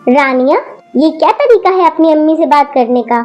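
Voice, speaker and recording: A woman's voice: high voice, normal speed, dull audio, faint music behind, lightly acted. A woman voicing a stern older grandmother who lectures her rude granddaughter firmly. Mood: scolding. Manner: scolding, lecturing, firm.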